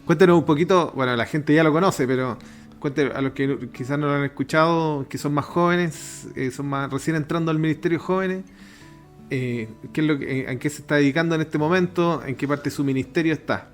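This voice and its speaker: A man's voice: fairly high pitch, fairly quick, soft background music. A young man hosting a youth podcast, upbeat and chatty, laughing easily with his co-hosts. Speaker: podcast host. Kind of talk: podcast interview.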